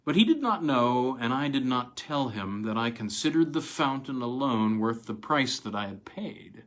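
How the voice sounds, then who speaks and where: A man narrates an old piece of short fiction in a dramatic storytelling style, phrasing deliberately with pauses. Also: mid-range voice, lively intonation, fairly bright tone.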